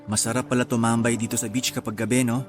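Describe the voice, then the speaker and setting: Lalaking gumaganap na relaks na binatang taga-lungsod, may musika. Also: male voice, mid-range voice, level tone, fast talker, close to an everyday voice. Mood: easygoing. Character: wistful, easygoing young city man on holiday. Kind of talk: Tagalog radio drama acting with English mixed in. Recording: music under the voice.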